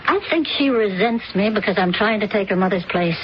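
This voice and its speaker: A woman's voice: medium pitch, animated, brisk, lightly acted. An actress playing a worried stepmother talking earnestly with her husband in a radio drama. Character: anxious stepmother, middle-aged wife. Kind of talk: 1970s radio drama acting. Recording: dull old radio broadcast, noisy.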